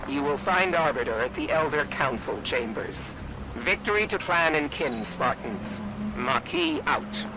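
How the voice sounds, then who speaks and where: A man's voice: fairly high pitch, expressive, measured pace. An actor playing a proud male alien warrior commander in a video game, speaking in a formal, declamatory way. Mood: grave, formal. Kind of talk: video game cutscene acting. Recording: clear vehicle and outdoor noise behind.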